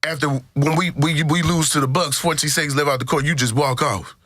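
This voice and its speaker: American male podcast host, dry sound, sharp voice, emphatic slangy delivery that repeats for punch. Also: fairly high pitch, steady tone, a little fast, dry recording. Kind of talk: casual sports and gossip chat.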